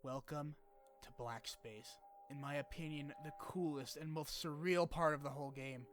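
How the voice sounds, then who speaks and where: A man's voice: a little high, fairly even intonation, moderate pace. A man narrating a scripted video essay about a game in an informal, sweary, opinionated way. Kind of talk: scripted game retrospective narration with casual asides. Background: background music.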